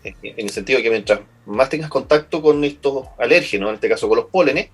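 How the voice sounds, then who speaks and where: A Chilean man speaking as a medical guest, his voice husky and a little breathy. He explains things patiently and often repeats words while he searches for the right phrase. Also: mid-range voice, fast. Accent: Chilean Spanish. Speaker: pulmonologist guest. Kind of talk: explanatory interview answers.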